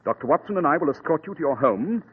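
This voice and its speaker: An actor playing a sharp, commanding detective in a 1940s radio drama, speaking crisply with authority. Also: male voice, on the high side, lively intonation, fast. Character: sharp commanding detective, middle-aged man. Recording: tinny old radio broadcast.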